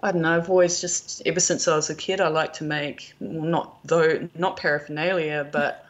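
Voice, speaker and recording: Low-pitched New Zealand woman, chatty. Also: fairly even intonation, moderate pace, muffled recording. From rural New Zealand. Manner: chatty, anecdotal, quotes conversations. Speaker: podcast guest, film producer and prop maker. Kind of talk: casual interview chat.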